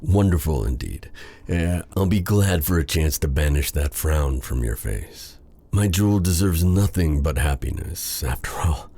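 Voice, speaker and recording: A man playing a feared dark sorcerer with a tender side, in a courtly, romantic fantasy ASMR roleplay style. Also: a bass voice, expressive, measured pace, slightly rough, exaggerated voice. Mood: flustered, tender. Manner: courtly, formal phrasing with endearments. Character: feared dark sorcerer, tender and flustered toward his captive. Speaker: voice actor in audio roleplay.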